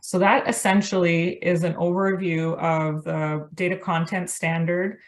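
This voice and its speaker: A woman's voice: fairly low pitch, little pitch movement, measured pace. A woman presenting slides at a workshop in a hesitant way, with frequent ums and uhs.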